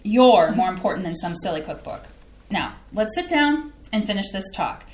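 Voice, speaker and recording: A woman's voice: mid-range voice, sing-song, moderate pace, roomy sound, natural voice. A woman playing a flustered, eager housewife. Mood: tender. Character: excitable Midwestern housewife and mother. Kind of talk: staged play reading. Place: theatre stage.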